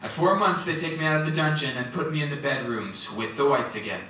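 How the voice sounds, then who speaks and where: A man's voice: a little high, a little fast, sharp tone. A man playing a defiant former political prisoner in a theatrical stage monologue. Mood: defiant, resolute. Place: indoor stage, recorded from far off. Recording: very echoey, distant stage recording, faint noise.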